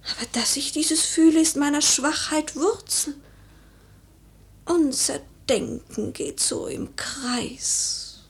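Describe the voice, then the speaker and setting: A woman's voice: high voice, slow, in a whisper. An actress playing a melancholy, impulsive noblewoman in a verse radio play, speaking in a theatrical, lyrical, pensive way. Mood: anguished, brooding. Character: melancholy, impulsive noble lady mistress of a house. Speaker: radio drama actress in a leading part. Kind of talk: mid-century German verse radio play acting.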